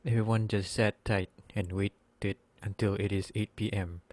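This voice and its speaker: Male story narrator, soft dark tone, rough-edged voice, scripted amateur delivery. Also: fairly low pitch, little pitch movement, a little slow, no room sound.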